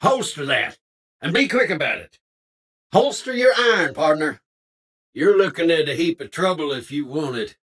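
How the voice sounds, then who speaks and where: An actor playing a folksy, friendly cowboy robot in a video game, with an American Western drawl and theatrical Old West slang. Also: male voice, fairly high pitch, expressive, moderate pace, a bit bright. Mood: stern warning. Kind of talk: video game character acting.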